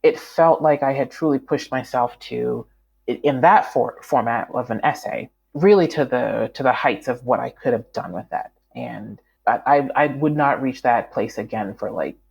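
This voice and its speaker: A woman's voice: very deep voice, normal speed, gravelly, darkish tone, slightly rough. A woman from Canada, a writer talking as an interview guest, thoughtful and full of fillers and restarts. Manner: reflective, many fillers and restarts. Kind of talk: conversational interview.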